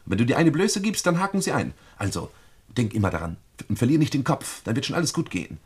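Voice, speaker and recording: A man's voice: mid-range voice, wildly swooping pitch, quick pace, slightly rough. A man acting an evasive, defensive murder suspect in a German radio play, hedging and uneasily insistent. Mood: tense, insistent.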